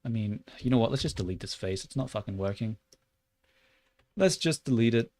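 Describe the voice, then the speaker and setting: A young Australian man livestreaming while he works, chatting loosely with lots of "like" and muttering curses at his software. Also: medium pitch, animated, a little fast. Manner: rambling and loose, heavy on "like", muttering and swearing at the software. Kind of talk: casual livestream chat while 3D modeling.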